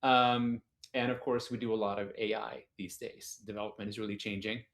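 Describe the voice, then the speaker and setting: A man who grew up in Norway, a guest on a tech podcast, explaining things in a relaxed way with many fillers. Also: lowish voice, normal speed. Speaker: guest engineer. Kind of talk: casual tech podcast chat.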